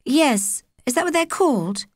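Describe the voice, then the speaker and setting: A woman's voice: high voice, expressive, normal speed, no echo, studio quality, pitched above the performer's own voice. A British woman voicing an earnest, hesitant young girl in a children's audiobook. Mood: eager. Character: young girl, earnest, unsure, a little anxious.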